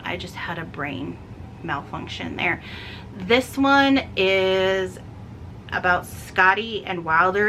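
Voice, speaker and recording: A woman with a bright, forward voice talks casually like a book vlogger. Her delivery is chatty and rambling, with frequent self-corrections. There is some faint noise behind her. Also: mid-range voice, rough recording.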